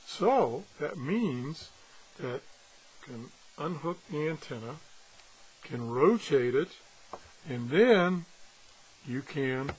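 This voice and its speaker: A man's voice: a little high, expressive, slow pace, long pauses, slight background noise, rough recording. A man giving a casual, unscripted product demonstration as a reviewer, rambling and thinking aloud with broken-off asides. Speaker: radio reviewer.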